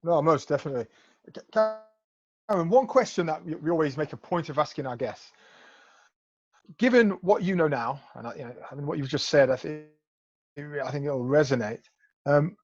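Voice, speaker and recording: A man's voice: on the high side, animated, moderate pace. A man co-hosting an interview, asking questions in a rambling way and repeating words. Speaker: podcast co-host. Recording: dull lo-fi dry sound.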